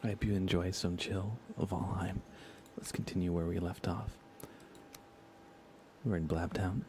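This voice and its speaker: A soft-spoken man chatting casually as he hosts an ASMR gaming stream, often slipping into whispers. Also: deep voice, steady tone, unhurried. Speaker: livestream host. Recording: faint computer fan noise. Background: computer fans, game ambience.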